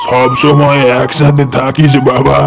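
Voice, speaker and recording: Man voicing a slow, weary old dying farmer. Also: medium pitch, flat delivery, vocal fry, over music. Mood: weary, tender.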